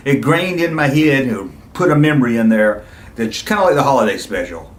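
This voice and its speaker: A middle-aged man hosts a casual video, reminiscing in an enthusiastic, rambling way. His pitch sits in the middle for a man. The sound is noticeably roomy, with audible echo around his conversational delivery. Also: lively intonation, brisk. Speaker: YouTube video host. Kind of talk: casual nostalgic reminiscing to viewers.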